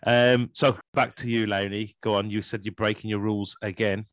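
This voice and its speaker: A man's voice: on the low side, flat delivery, normal speed, no room sound. Dry-sounding man hosting a casual chat. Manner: chatty, prompts others, laughs often. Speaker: podcast co-host.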